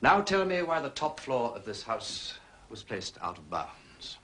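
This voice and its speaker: An aristocratic English sleuth in a period mystery. The voice is bright and rather husky, swinging widely in pitch, with ironic, clipped questioning and a sceptical edge. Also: male voice, very animated, a little slow, exaggerated voice. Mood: sceptical, wry. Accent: upper-class English. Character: aristocratic gentleman detective, wry, sharp and sceptical. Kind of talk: British period mystery drama acting.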